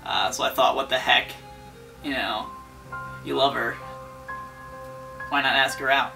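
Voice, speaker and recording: A man's voice: medium pitch, fairly even intonation, measured pace, vocal fry, distant mic, over music, pitched below the performer's own voice, poor audio. A man doing a mock dramatic reading as a lovesick, self-serious teenage boy, hesitant and melodramatically earnest. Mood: earnest, brooding.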